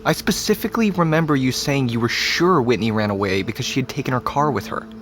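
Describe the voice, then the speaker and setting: A man's voice: medium pitch, animated, quick pace, fairly bright tone, a little hiss or hum, lightly acted. A young man with an American accent, acting in an audio drama as a troubled, sarcastic, loyal teenage boy who talks in casual slang. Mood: confrontational.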